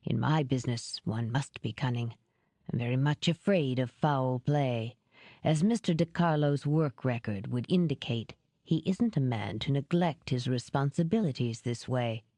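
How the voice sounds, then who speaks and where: A woman voicing a powerful older businessman in an audiobook, courteous, calculating and formal in a measured way. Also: very deep voice, mellow, no echo, pitched below the performer's own voice. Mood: smooth, cunning.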